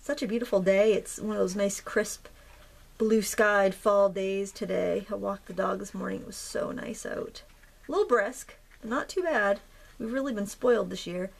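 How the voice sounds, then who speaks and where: A woman hosting a craft tutorial, chatting in a relaxed, rambling way as she paints. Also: medium pitch, moderate pace, gravelly. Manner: relaxed, rambling, with fillers and soft laughs. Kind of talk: casual chatty tutorial narration.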